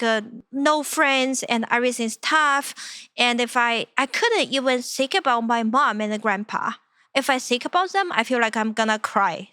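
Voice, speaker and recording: A young woman from China speaks English with a Chinese accent, her voice a touch higher than most. She recounts her past in a halting, searching way, full of little fillers. The sound is close and dry. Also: pushed and bright, clear, resonant tone, no room sound. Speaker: interview guest, company founder. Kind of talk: personal storytelling in conversation.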